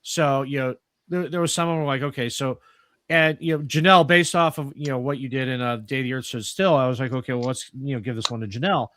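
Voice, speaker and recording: A man hosts a casual group chat in a mid-range voice. His delivery is halting, with words repeated as he goes. The sound is close and dry. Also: medium pitch, fairly bright tone, dry recording. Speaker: host of a radio players group.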